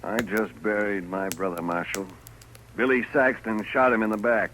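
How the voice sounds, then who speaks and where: A man's voice: on the low side, fairly even intonation, normal speed, close to an everyday voice. Defiant frontier rancher played by a man. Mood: bitter. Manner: curt, challenging. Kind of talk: 1950s radio acting. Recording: faintly noisy old radio recording.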